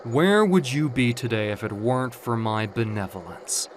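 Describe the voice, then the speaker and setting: A man's voice: medium pitch, animated, moderate pace, a little hiss or hum, lightly acted. A man voicing a suave, patronizing demon in an audio comic book drama, theatrical and mocking. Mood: grandly praising.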